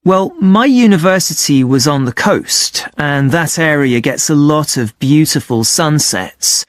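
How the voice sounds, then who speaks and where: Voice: mid-range voice, expressive, measured pace, no echo, studio quality, close to an everyday voice. An actor playing a polite young amateur photographer, explaining things a little hesitantly in a scripted listening-test dialogue. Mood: reflective.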